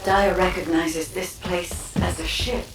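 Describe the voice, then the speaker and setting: An actress playing a solemn, mysterious female guide in a video game, speaking in a measured, formal way. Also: fairly low pitch, fairly even intonation, lightly acted. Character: solemn, mysterious female guide leading a hero through memories. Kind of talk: scripted video game voice acting. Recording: echoey, distant, music behind.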